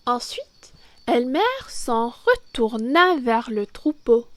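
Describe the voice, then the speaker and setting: A woman reading a children's picture book aloud, deliberate and theatrical, stressing key words. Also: a little high, expressive, dry recording. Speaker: children's story reader, French teacher.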